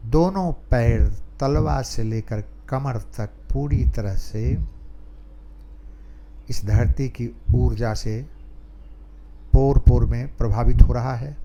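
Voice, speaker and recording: Slow, mellow man guiding meditation. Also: medium pitch, dull audio, a little hiss or hum. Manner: slow, calm, pausing between short phrases. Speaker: meditation teacher. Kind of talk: guided meditation instruction.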